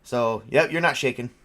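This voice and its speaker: A man's voice: medium pitch, a bit bright. A man casually narrating a tabletop role-playing game as game master, improvising and thinking aloud with some hesitation.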